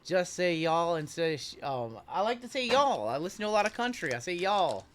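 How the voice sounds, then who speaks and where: A man's voice: high voice, sing-song, fairly quick, a little hiss or hum. A man hosting a live card break, chatting casually and reading the chat aloud with a joking manner. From Iowa. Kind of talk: casual live-stream chat while opening cards.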